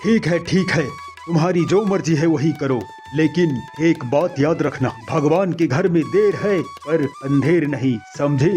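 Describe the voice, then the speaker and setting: A man playing a kindly henpecked merchant in an animated moral story, placating and gently reproachful. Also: on the high side, measured pace, soft background music, close to an everyday voice.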